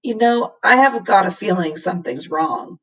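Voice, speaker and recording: A woman voicing a worried young boy in a storybook, polite, earnest and hurried in manner. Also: medium pitch, lively intonation, slightly rough, far from the mic, low-quality recording. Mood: puzzled.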